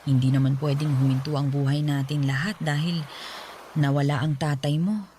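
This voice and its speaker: A woman's voice: low voice, fairly even intonation, normal speed, dark tone, a little hiss or hum, lightly acted. Stern nagging widowed mother, voiced by a woman. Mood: scolding. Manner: scolding, lecturing. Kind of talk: radio drama acting.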